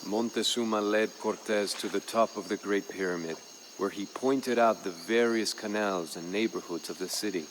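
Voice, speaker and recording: A man playing a proud, grave Aztec jaguar warrior who solemnly recounts his people's fall as a strategy game's campaign narrator, in a measured, dramatic way. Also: lowish voice, a little hiss or hum, close to an everyday voice, poor audio. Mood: solemn, wary. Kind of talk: solemn scripted storytelling narration for a strategy game.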